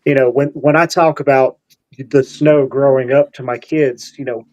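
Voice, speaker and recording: A man's voice: mid-range voice, fairly even intonation, moderate pace, darkish tone, muffled recording. A man who grew up in upstate New York, a guest on a casual video-call chat, speaking in an easygoing way with repeated words and "y'all".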